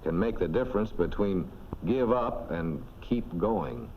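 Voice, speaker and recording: Male narrator, 1940s instructional film, fairly low, punchy folksy delivery, dull and slightly noisy audio. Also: expressive, a little slow. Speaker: narrator of a military training film.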